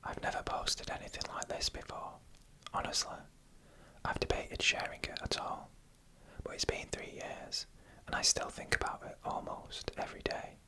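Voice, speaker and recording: A narrator tells scary stories in a breathy ASMR whisper with no voice in it. The sound is bright and crisp-edged. The delivery is hushed and deliberate, taken one phrase at a time. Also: low-quality recording. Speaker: narrator reading online stories.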